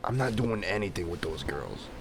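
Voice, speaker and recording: A man playing a weary, kindly old retired salesman and widower in a comic dub, gravelly and folksy. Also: low-pitched, lively intonation, moderate pace. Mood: weary, frank. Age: 60s. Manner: folksy, plain-spoken. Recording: clearly noisy background hiss and ambience.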